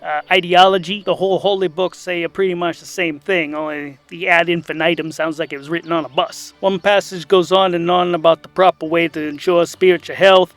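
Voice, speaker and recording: A man voicing a shady high priest who rambles hesitantly with many fillers in a comedic fantasy audio drama. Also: fairly even intonation, fairly quick, hardly any pauses, a bit bright, no room sound, faint music behind, natural voice. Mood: affable, evasive. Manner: hesitant, repetitive, full of filler words.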